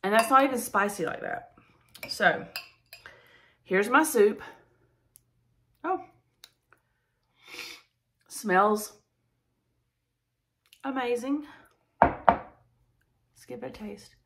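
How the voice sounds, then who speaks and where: A woman's voice: on the high side, extremely slow, pauses often, fairly bright tone. Chatty woman cooking at home. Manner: chatty, enthusiastic, says y'all often. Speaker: cooking vlogger. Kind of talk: casual cook-along chat. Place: home kitchen, indoors.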